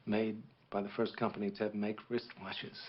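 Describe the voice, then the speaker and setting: A man playing a grave, middle-aged war veteran officer who speaks in a measured, solemn way, as if telling a story to a child. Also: fairly low pitch, little pitch movement, highs cut off, close to an everyday voice. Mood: earnest. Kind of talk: dramatic monologue performance.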